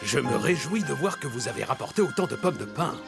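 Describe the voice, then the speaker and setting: A pompous nice old forest critter, cartoon, heavy creak, lively pitch, music behind. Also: male voice, brisk. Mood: proud, lecturing. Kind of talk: French cartoon dub acting.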